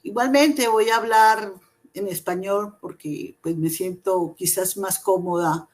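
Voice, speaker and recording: Woman, middle pitch, very slow and hesitant; academic talk over a video call. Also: darkish tone. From Colombia. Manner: slow and measured, with frequent hesitations and repeated words. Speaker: invited professor and researcher. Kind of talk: academic seminar presentation.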